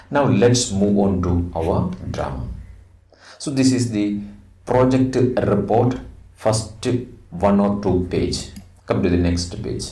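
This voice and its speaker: Slow, lively Indian male teacher, roomy sound. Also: on the low side. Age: middle-aged. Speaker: English teacher. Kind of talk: lesson, reading a script aloud and explaining it. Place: indoor room. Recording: roomy echo, distant microphone.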